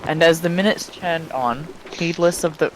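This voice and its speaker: Higher-voiced man reading aloud over noisy chat. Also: moderate pace. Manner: sweary, breaks off mid-sentence to chat. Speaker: fan fiction reader on a live stream. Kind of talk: dramatic reading with casual asides to friends. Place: live-streamed group voice chat. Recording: noisy voice chat, steady background noise.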